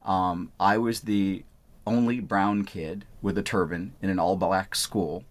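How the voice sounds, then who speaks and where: A man's voice: sits low, steady tone, a little slow. A man from Punjab in India, a doctor answering interview questions in an explanatory way. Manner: explanatory, repeats "I want" phrases. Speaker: physician.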